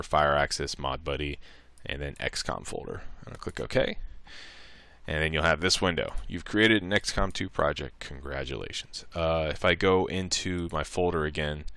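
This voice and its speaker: A man with a low, clear voice walks through a software tutorial in an informal way. He thinks aloud step by step, sounding bright and close in a dry space. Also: dry recording. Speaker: tutorial presenter. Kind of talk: casual screen-recorded software tutorial.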